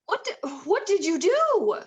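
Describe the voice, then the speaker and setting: A woman's voice: very high-pitched, big pitch swings, a little slow, muffled recording, full character voice. A woman playing a firm, worried, bossy mother in a screenplay table read. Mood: alarmed, stern.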